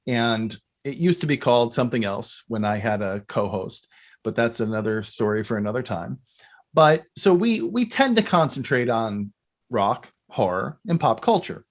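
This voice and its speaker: A man with a medium-pitched voice hosts a casual podcast chat. His delivery is conversational and informal, the way one talks with a guest about shared interests. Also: sing-song, normal speed. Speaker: podcast host. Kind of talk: casual pop culture chat.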